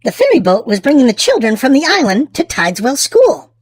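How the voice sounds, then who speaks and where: Female read-aloud host for young children, high voice, expressive and drawn-out delivery, dry close sound. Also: breathy edge, dry recording. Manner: theatrical, drawn-out emphasis for small children. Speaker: children's storybook reader and channel host.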